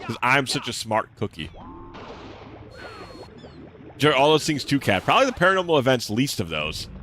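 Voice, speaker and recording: A man's voice: sing-song, normal speed, bright, forceful tone, a little hiss or hum. A young man streaming a game, chatting casually with his viewers; he has a slight lisp and talks in a laid-back, swear-sprinkled way. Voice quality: relatively deep voice. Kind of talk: casual live-stream chat while gaming.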